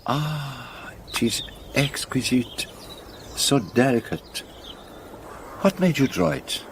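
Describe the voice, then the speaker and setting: An actor playing a shrewd, courteous older detective in a radio drama, with a French-speaking Belgian accent and formal, precise, deliberate phrasing. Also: male voice, medium pitch, vocal fry, slight background noise. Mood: polite, curious.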